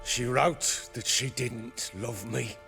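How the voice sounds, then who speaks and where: Gravelly, bitter old-soldier baron. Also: male voice, medium pitch, steady tone, slow pace, over music. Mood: dark, regretful. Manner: coarse, blustering, profane storytelling. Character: gruff, bitter, hot-tempered middle-aged soldier baron. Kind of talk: dramatic video game acting.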